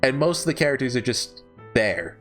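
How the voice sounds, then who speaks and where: A man's voice: mid-range voice, very animated, moderate pace, a bit bright, soft background music. A man giving casual, unscripted ranking commentary as a reviewer, rambling through his opinions and often correcting himself mid-thought. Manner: rambling, opinionated, often self-correcting and seeking agreement with "right?". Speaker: visual novel reviewer.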